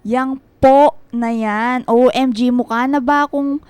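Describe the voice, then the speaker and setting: A woman playing a sociable, playful thirty-year-old businesswoman in a radio drama, gushing in drawn-out Taglish with a Filipino accent. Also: high-pitched, fairly even intonation, a little mellow, smooth, dry recording. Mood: breezy, teasing. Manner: drawn-out, gushing, English-peppered Taglish speech.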